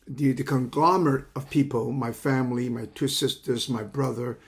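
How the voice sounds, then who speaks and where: Older man, 70s, halting lecture delivery, repeats words mid-sentence. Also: medium pitch, fairly even intonation. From China. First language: Cantonese. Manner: halting, repeats words while searching for phrasing. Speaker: counseling psychology teacher. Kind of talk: lecture introduction.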